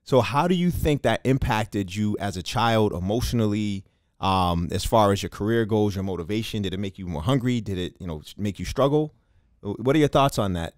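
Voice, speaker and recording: A man's voice: on the low side, animated, fairly quick, dry recording, studio quality. A man hosting a podcast interview, upbeat and encouraging, with many fillers. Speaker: podcast host. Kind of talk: casual interview.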